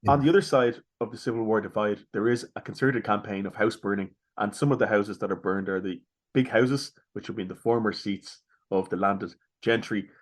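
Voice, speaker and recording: Lowish-voiced man hosting. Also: a little fast. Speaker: podcast host, interviewer. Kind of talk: measured interview questioning.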